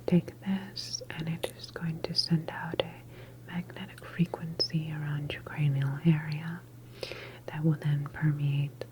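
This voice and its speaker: A woman whispering softly in an ASMR medical roleplay as a calm, reassuring doctor, explaining each step politely in a breathy voice. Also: deep voice, slight background noise, rough recording. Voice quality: breathy, mostly unvoiced whisper. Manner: soft, unhurried, gently explanatory, polite. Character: calm, reassuring female doctor at a sci-fi government facility. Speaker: ASMR performer playing a medical examiner.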